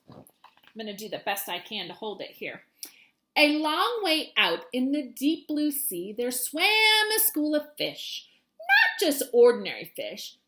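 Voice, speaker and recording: A woman's voice: mid-range voice, fairly bright tone. A woman teacher reading a children's storybook aloud expressively to her students.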